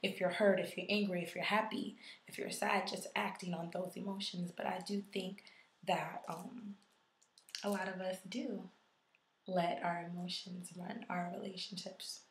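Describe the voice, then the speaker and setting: Hoarse woman vlogging in an echoey room. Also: on the low side, flat delivery, a little slow. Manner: conversational, reflective, with frequent ums. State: hoarse, losing her voice from illness. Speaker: YouTuber. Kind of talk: casual video response vlog.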